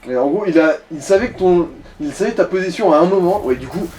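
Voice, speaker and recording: A man's voice: high voice, lively intonation, quick pace, darkish tone. A man giving casual, colloquial and sometimes sweary co-commentary on someone else's video game play. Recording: distant mic, game music behind.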